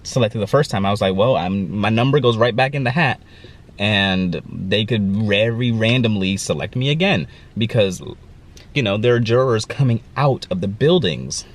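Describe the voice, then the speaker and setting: A man's voice: on the low side, normal speed. A young man vlogging casually to camera, sounding stuffy and congested, rambling through a story with lots of fillers. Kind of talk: casual vlog talk to camera.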